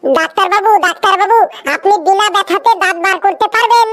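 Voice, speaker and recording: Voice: extremely high pitch, fast talker, pushed and bright. A performer voicing a cheeky, literal-minded, childlike cartoon patient with an earnest way of talking. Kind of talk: comedy cartoon skit dialogue.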